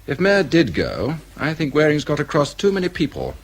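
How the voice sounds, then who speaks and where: Scheming, offhand British insider. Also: male voice, a little high, wildly swooping pitch, normal speed, exaggerated voice. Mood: confiding. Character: worldly, scheming male civil service insider, smoothly cynical. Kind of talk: radio drama acting.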